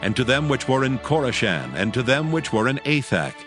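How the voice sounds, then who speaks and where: A man narrating scripture as a scripted audio drama, with solemn, measured storytelling. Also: medium pitch, sing-song. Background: music bed.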